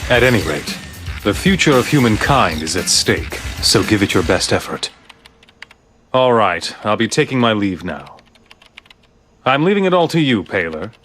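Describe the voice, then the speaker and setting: A stern game-cutscene director with a low voice. He speaks with dignified, measured authority and plenty of inflection, over music. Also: sing-song, a bit bright. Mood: grave, lecturing. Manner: formal, measured, commanding. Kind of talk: video game cutscene voice acting.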